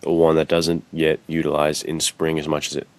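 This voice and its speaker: A man with an exceptionally low, deep voice chats as a podcast co-host. His delivery is informal and conversational, with a keen interest in what he is discussing. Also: monotone, a little fast, darkish tone, no echo. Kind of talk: casual podcast chat about film and menswear.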